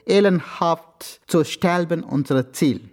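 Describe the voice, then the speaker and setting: An actor playing a stern martial arts master who pronounces samurai proverbs in terse, solemn phrases in a radio drama. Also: male voice, on the high side, slow pace, a little mellow, soft background music. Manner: terse, solemn, sententious commands. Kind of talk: radio comedy-crime drama acting.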